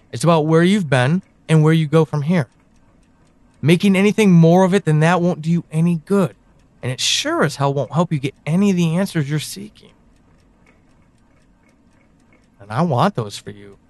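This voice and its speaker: A man playing a brooding, knowing confidant in an audio drama monologue, speaking deliberately to one listener with pointed pauses. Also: fairly high pitch, no room sound. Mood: reflective, earnest. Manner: deliberate, halting delivery with sighs and pointed pauses. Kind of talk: audio drama monologue, second-person address.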